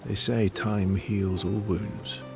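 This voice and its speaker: An actor playing a gentle, wry, reflective man in a video game cutscene, speaking softly and haltingly. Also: fairly low pitch, level tone. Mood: gentle, sorrowful. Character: gentle, wry, grieving man, musician from artist family. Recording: studio voice under clear background music.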